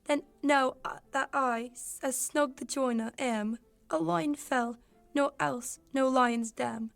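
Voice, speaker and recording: A young woman playing a timid joiner who earnestly acts a gentle lion, reciting hesitantly in a radio play of Shakespeare. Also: high-pitched, slow, creaky, soft background music, full character voice. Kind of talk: 1940s radio play acting of Shakespeare verse.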